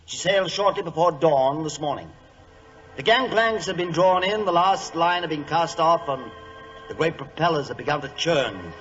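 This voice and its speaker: A man's voice: on the high side, moderate pace, fairly bright tone, soft background music, close to an everyday voice. An actor playing an anxious, pompous company chairman, grave and formal, in 1940s radio drama style. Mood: worried, dramatic. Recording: muffled old radio recording.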